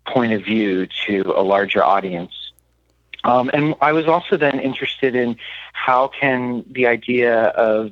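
A man from Miami speaking as an interview guest, thoughtful and halting as he searches for words in reflective talk. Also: on the low side, dry recording, lo-fi sound. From Miami, United States. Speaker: interview guest, playwright and mentor. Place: radio studio.

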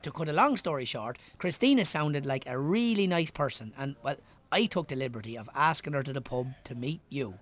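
Actor as a breezy, higher-voiced Irish publican. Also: male voice, animated, fairly quick, few pauses, fairly bright tone. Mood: breezy, coaxing. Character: breezy, persuasive matchmaking friend and pub landlord. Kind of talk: naturalistic radio drama acting.

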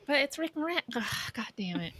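A woman's voice: sits high, very animated, a little fast, bright, forceful tone, no echo. A woman co-hosting a podcast, debating films casually in a blunt, argumentative, sweary way. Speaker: podcast co-host.

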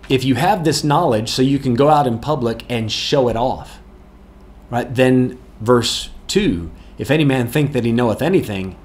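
A man answering viewer questions as a pastor, talking through Bible verses in a plain, earnest way, with chuckles and restarts. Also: mid-range voice. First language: English, not Afrikaans. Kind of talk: casual Bible Q&A teaching.

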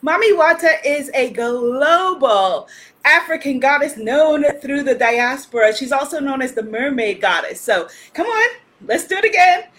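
A woman from Guyana hosting an online spiritual festival on a livestream, loud and energetic, leading chants and cheering on viewers. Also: high-pitched, a little slow. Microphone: phone or webcam on a livestream.

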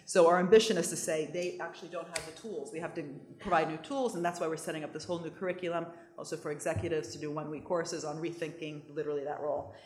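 A woman from Italy with an American accent, speaking fast and emphatically to an audience with restarts and quick asides. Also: deep voice, steady tone, darkish tone, reverberant. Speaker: economics speaker. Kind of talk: lively talk with audience questions.

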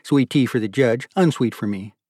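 A narrator plays a courteous man who is a regular diner. He is sincere and gently playful. The male voice is mid-range and recorded very dry. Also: medium pitch, normal speed, slightly rough, no echo, lightly acted.

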